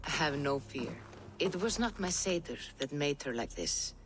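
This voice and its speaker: A calm Norse seeress for a video game, reverent and reassuring. Her low voice moves slowly and ceremonially, at times hushed. Light ambient noise sits behind her. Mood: calm, mysterious. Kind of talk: dramatic video game acting.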